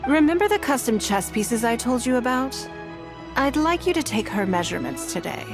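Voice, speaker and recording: A woman's voice: on the high side, sing-song. A poised, commanding noblewoman. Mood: exasperated. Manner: measured, composed, authoritative. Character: poised wealthy woman leader, composed and commanding. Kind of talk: game voice acting. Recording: game dialogue over faint music.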